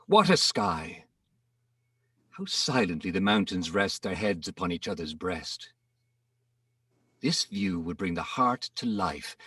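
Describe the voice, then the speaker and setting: A man giving a theatrical dramatic reading of rhymed verse, with deliberate pauses, recorded in an echoing room at some distance from the microphone. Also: mid-range voice, gravelly, fairly bright tone, breathy edge. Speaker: poetry reader. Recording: roomy echo, distant microphone.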